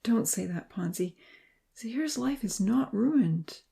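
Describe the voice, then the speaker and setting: A woman voicing a gentle young man who speaks slowly and softly to reassure his friends. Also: on the high side, lively intonation, creaky, pitched above the performer's own voice, full character voice. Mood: soothing. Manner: slow, soft and reassuring.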